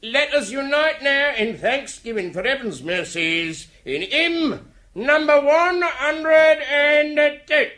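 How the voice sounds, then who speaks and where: Pious Cockney ex-burglar, wildly swooping voice. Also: male voice, extremely high pitch, animated, slow, bright, forceful tone, full character voice. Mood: fervent, preaching. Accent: London Cockney. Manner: preacherly fervour, folksy ungrammatical speech. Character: reformed Cockney burglar turned pious, hymn-singing locksmith. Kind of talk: radio drama acting.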